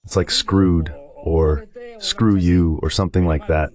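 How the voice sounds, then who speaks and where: A man's voice: low-pitched, fairly even intonation, measured pace, darkish tone. A man as a talk show guest in a voiced-over translation, giving conversational political commentary.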